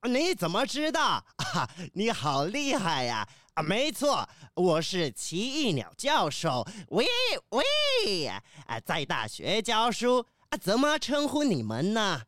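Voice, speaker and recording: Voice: mid-range voice, wildly swooping pitch, slow, few pauses, fairly bright tone, no echo, studio quality, heavily acted. A performer voicing a friendly, chatty kiwi bird professor in a children's audio drama, full of interjections. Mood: delighted. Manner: chatty, peppered with interjections, welcoming. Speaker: university teacher character in children's detective audio drama.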